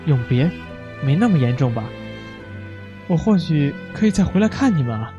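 A man playing a gentle, awkward, conscientious stranded traveler in a sci-fi radio drama. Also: fairly high pitch, big pitch swings, normal speed, highs cut off. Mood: puzzled. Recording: music bed under the voice.

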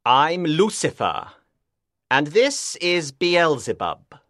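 Commanding male devil lord, audiobook drama, cold, measured, swooping intonation. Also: fairly high pitch, big pitch swings, a bit bright, no room sound, studio quality, heavily acted.

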